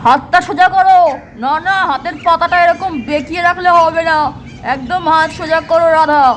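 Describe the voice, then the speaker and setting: A very high-voiced village farmer husband from a doll cartoon, played by a woman, chatty and full of instructions. He is proud and bossy, and there is faint noise behind the voice. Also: fairly quick, fairly bright tone. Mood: bossy, urging. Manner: instructing, chatty, repetitive urging. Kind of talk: acted children's doll-play cartoon dialogue.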